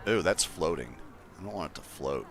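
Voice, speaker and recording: A man with a deep voice casually chatting to his viewers as he builds in a game livestream, thinking aloud in an easygoing way. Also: animated, brisk, background noise. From Colorado, USA. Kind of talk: casual gameplay livestream chat.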